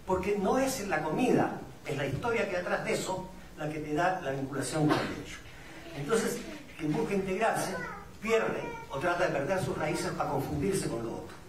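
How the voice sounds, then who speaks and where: High-voiced Peruvian man, echoey room. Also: lively intonation, normal speed, background noise. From Peru. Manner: reflective and didactic, poses rhetorical questions. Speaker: migrant community representative speaking on a panel. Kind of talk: public talk at a discussion forum. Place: indoor event room at a public forum. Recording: echoey room, distant mic, audience sounds.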